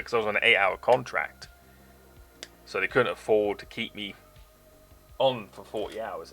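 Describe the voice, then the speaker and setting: A man with a blended British Forces English accent talks loosely and swears freely. His voice sits very low, with a gravelly rasp and a bright edge. Faint music plays behind him. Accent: mixed British Forces English. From England. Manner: chatty, swears freely, laughs often. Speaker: streamer building model kits. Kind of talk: casual chat on a live stream.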